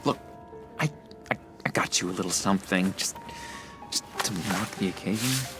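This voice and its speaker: An actor playing a giddy, needy former asylum patient in a video game, halting and theatrical, swinging from hushed to loud. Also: male voice, low-pitched, over loud music, close to an everyday voice. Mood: giddy, eager. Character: unhinged, giddy, needy male ex-asylum patient named John. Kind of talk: video game character acting.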